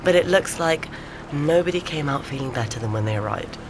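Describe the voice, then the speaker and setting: An actress playing an eager amateur sleuth in an audio drama, talking through theories in an animated, insistent, conversational way. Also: female voice, low voice, brisk. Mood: intrigued. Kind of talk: naturalistic audio drama dialogue. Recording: clear background ambience noise.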